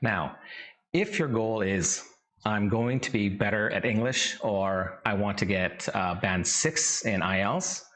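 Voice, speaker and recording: Slow, measured Canadian-raised English teacher. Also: male voice, lowish voice, a bit bright. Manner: slow, measured, instructive delivery. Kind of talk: scripted lesson narration.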